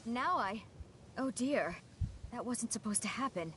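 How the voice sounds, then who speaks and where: A woman's voice: on the high side, animated, measured pace, heavy background noise. A woman acting an earnest young student mage in a fantasy video game, polite and somewhat awkward and hesitant. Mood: worried, apologetic.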